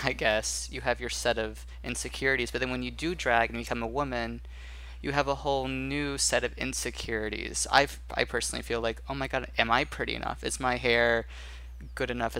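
A man's voice: mid-range voice, steady tone, brisk, bright, forceful tone, smooth, low-quality recording. A man on a casual film podcast, speaking thoughtfully and candidly about his own experience.

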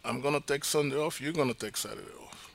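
A man's voice: mid-range voice, fast talker, gravelly. A man from Panama, a chef, talking casually in an interview and repeating his key points with emphasis.

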